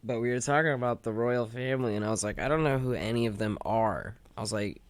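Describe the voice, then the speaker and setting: A man's voice: medium pitch, quick pace, no echo. A man co-hosting a podcast in casual, slangy chat, telling anecdotes and acting out imagined dialogue. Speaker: podcast co-host.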